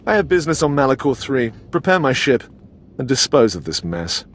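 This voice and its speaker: An actor playing a cold, haughty older Sith lord in a video game, formal and condescending. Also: male voice, mid-range voice, big pitch swings, fairly quick, a little hiss or hum, close to an everyday voice. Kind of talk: dramatic video game acting.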